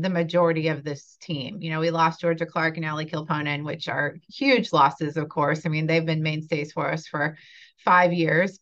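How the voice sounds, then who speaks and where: A woman head coach answering interview questions in a measured way, with some fillers. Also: low-pitched, a bit bright, muffled recording. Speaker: head softball coach, guest.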